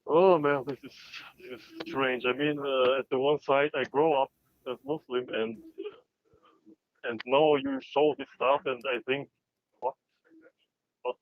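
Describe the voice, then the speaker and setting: A man's voice: mid-range voice, a little slow, pauses often, no echo. Hesitant man on a tinny phone line. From North Macedonia. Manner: hesitant, many fillers and restarts. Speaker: caller. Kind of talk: call-in conversation.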